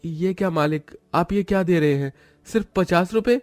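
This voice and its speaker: A man's voice: high voice, normal speed, darkish tone, no room sound, faint music behind, natural voice. A man voicing a timid, humble poor labourer with a hesitant, pleading manner.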